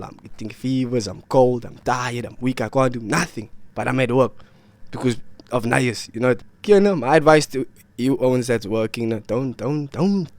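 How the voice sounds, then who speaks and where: A man's voice: mid-range voice, a little mellow, no echo. Young guy vlogging while sick, rambling with lots of slang, dry sound. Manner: slangy, rambling, repeats himself. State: ill and worn out. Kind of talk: casual storytelling to camera.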